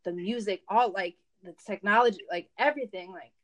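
A young woman whose first language is Spanish talks in a relaxed interview. She rambles, circles back and fills her sentences with restarts. Her voice sits at an ordinary middle pitch for a woman. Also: a little fast. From United States. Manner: rambling, many fillers and restarts. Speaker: guest, event organizer. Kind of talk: casual interview chat.

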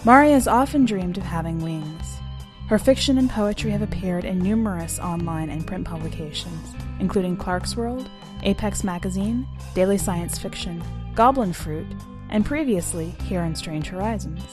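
A woman's voice: lowish voice, lively intonation, normal speed. A woman reading scripted introductions and short biographies as a podcast presenter. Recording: music playing behind the voice.

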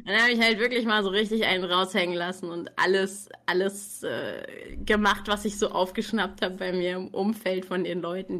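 A young woman talking freely on a casual livestream, chatty and full of easy laughter. She pokes fun at herself as she tells her stories, with a voice sitting at an ordinary middle pitch for a woman. She speaks as an author and reading coach talking from a warm room at home. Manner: chatty, laughing often, self-mocking.

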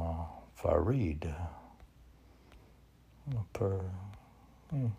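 A man in his sixties with a very low, creaky voice. He rambles casually and reads poetry, musing in halting fragments. The pace is very slow. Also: darkish tone. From United States. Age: 60s. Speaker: poet. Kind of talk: casual rambling talk mixed with poetry reading.

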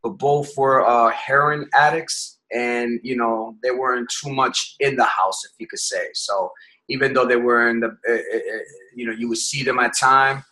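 A man from Puerto Rico, a podcast guest telling his life story in a reflective way, often pausing and restarting his phrases. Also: mid-range voice, fairly even intonation, sharp tone, rough recording.

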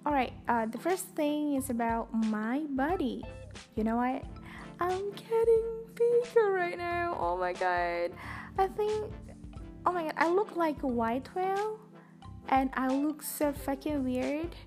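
A woman's voice: high-pitched, very animated, a little slow, darkish tone, faint music behind. A young woman with an Indonesian accent hosting a podcast, talking casually and chattily with lots of fillers. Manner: talkative, chatty with many fillers. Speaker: podcast host. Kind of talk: casual personal monologue.

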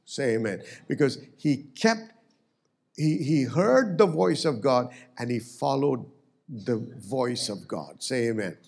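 A man's voice: mid-range voice, lively intonation, slow pace, vocal fry, a little mellow, breathy edge. A man preaching a sermon as pastor, emphatic and swelling on key words.

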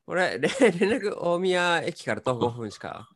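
Higher-pitched, animated man chatting. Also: moderate pace. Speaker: radio show co-host. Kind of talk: casual chat.

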